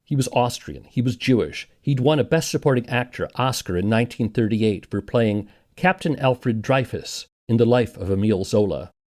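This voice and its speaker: A man's voice: medium pitch, moderate pace, few pauses, darkish tone. A man narrating a scripted documentary podcast as its host and interviewer.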